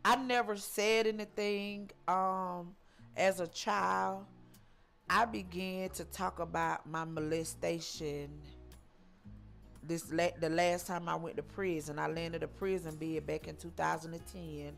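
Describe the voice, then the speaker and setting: A woman in her forties tells her life story in an interview, her voice sitting on the lower side. She stops and starts, circles back and repeats herself, filling gaps with ums. Faint music plays behind her. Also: steady tone, unhurried, vocal fry, a bit bright. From Georgia, US. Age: 40s. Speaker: interview guest.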